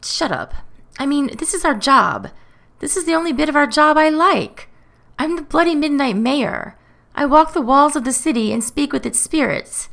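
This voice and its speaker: A woman's voice: sits high, expressive, measured pace, dry recording, pitched above the performer's own voice, full character voice. A woman reading an audiobook-style story, voicing an indignant, self-important man who sulks and whines. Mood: indignant, grandiose. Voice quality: creaky, whiny. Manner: petulant, grandiose. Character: indignant, self-important, sulky man, magical mayor of London.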